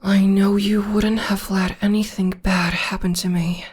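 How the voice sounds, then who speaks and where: A woman voicing a devoted young witch girlfriend in an ASMR roleplay, talking in a soft half-whisper close to the mic. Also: medium pitch, little pitch movement, measured pace, breathy edge. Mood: tender, reassuring. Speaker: voice actor.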